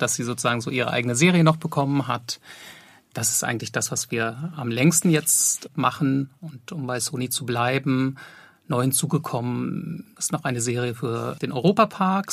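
A man's voice: medium pitch, vocal fry, a bit bright, no room sound. A man speaking casually as an audio drama producer and composer being interviewed.

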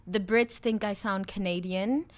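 A woman's voice: medium pitch, fairly even intonation, a little slow. A woman in her twenties from South Africa with a South African accent, co-hosting a podcast in candid, crude, laugh-filled chat. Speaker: podcast co-host. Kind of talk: casual chat.

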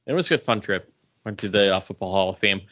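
A man's voice: low voice, fast, fairly bright tone. Man chatting casually, slightly dull lo-fi sound. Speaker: podcast co-host. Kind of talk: casual pop culture and beer chat. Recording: dull, lo-fi podcast audio.

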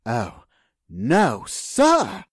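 A man playing a deferential, nervous household servant in a radio drama, husky, formal and hesitant. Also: medium pitch, wildly swooping pitch, very slow, dry recording, clean studio sound, heavily acted. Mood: nervous, flustered.

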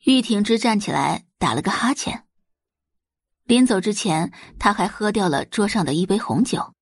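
A woman's voice: mid-range voice, lively intonation, normal speed, slightly rough, no room sound, studio quality. A woman narrating a scripted audio drama in a dramatic storytelling style.

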